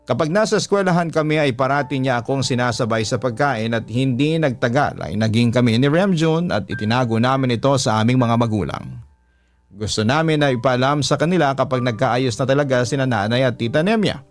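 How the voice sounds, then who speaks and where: A man's voice: mid-range voice, brisk, faint music behind. A man working as a radio storyteller, narrating a listener's letter in the first person in a dramatic style.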